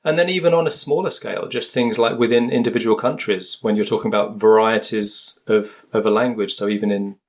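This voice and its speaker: A British man with a fairly low voice talks as an expert guest in a conversational interview. He is thoughtful and tentative in delivery, often circling back to reword a phrase before he completes it. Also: fairly even intonation, brisk, few pauses. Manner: hesitant, thoughtful, frequently rephrasing. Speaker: interview guest, linguist and author.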